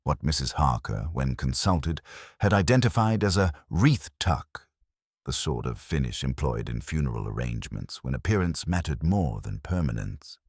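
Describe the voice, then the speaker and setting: A man reading a cosy mystery as scripted narration in a measured, wry manner. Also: rumbling low, very animated, creaky, husky, no room sound, studio quality.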